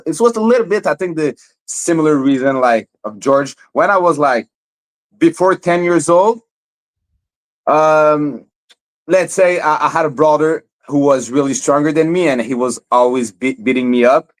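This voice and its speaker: A man's voice: a little high, normal speed, rough recording. A young man from Montreal, a fighter guest in a casual interview, who stammers and repeats words often. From Montreal, Canada.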